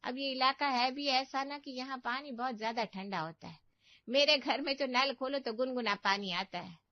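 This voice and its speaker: A woman performs a meddling older aunt from a cartoon family tale. Her voice sits fairly high and she nags with overdone sympathy, always finding fault. The sound is very dry and a little muffled. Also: normal speed, no room sound, close to an everyday voice. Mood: mock pity. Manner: complaining, lecturing, exaggerated sympathy. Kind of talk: Hindi family cartoon story voice acting.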